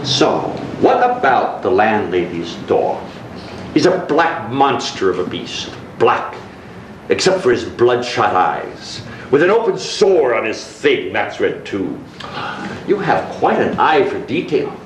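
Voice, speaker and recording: A man's voice: medium pitch, big pitch swings, slow pace. Echoey, mocking middle-class man played on stage. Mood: mocking, needling. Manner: theatrical, sarcastic, needling. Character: mocking, menacing middle-class married man on a park bench. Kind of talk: stage play acting. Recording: echoey, distant, noisy live stage recording.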